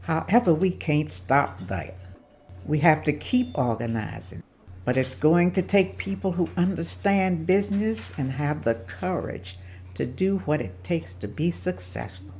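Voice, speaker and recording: A woman's voice: deep voice, lively intonation, unhurried, dark tone, slight background noise. A woman at a table read playing a persuasive businesswoman who rallies other women to organize. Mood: encouraging. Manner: rallying, persuasive. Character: woman leader and businesswoman, encouraging.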